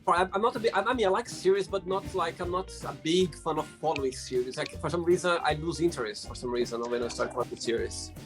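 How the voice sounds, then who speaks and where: A male interviewer with a fairly high voice, speaking English as a second language. He chats casually and enthusiastically, often repeating himself for emphasis. Guitar music plays clearly behind him. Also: fast talker, few pauses. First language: not English. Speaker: show host.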